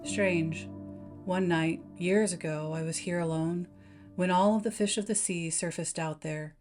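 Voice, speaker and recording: A woman's voice: on the low side, fairly even intonation, a little slow, a little mellow, lightly acted. A woman voicing a brooding, talkative male lighthouse keeper, musing in a folksy way as part of a story reading. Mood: musing, awed. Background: background music.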